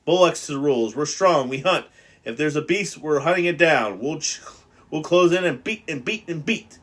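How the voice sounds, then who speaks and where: A man reading aloud and voicing an aggressive, mocking boy who shouts down the others. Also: mid-range voice, normal speed, lightly acted. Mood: defiant.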